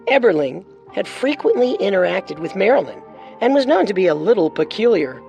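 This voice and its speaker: A woman's voice: mid-range voice, sing-song, fairly quick, vocal fry, darkish tone, lo-fi sound, faint music behind. A woman co-hosting a podcast, reading scripted true-crime narration with dramatic storytelling and wry touches.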